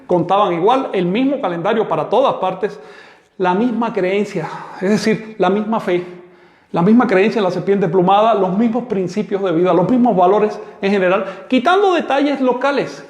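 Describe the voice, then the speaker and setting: A man's voice: sits high, normal speed, darkish tone. A man teaching a live online class, answering students' questions in a firm, emphatic lecturing manner. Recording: echoey room with long reverb.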